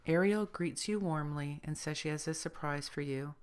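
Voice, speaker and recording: A woman leading a scripted guided meditation as a spiritual guide, speaking in measured, deliberate phrases. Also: sits low, smooth.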